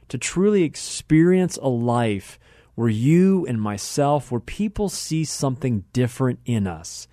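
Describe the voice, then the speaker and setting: A man's voice: mid-range voice, mellow, dry recording, clean studio sound. An American man hosting a radio talk show, speaking conversationally and effusively, repeating phrases for emphasis. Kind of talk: conversational show introduction and interviewing.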